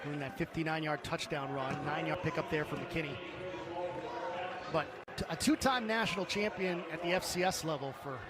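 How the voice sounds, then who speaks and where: A man's voice: on the high side, moderate pace, over a noisy crowd. A man calling live football play-by-play as a sports commentator.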